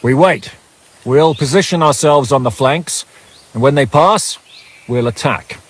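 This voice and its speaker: An actor voicing a gruff, sardonic leader of an armed band in a video game, giving orders. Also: male voice, medium pitch, measured pace. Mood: commanding. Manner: sardonic, commanding. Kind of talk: video game character acting.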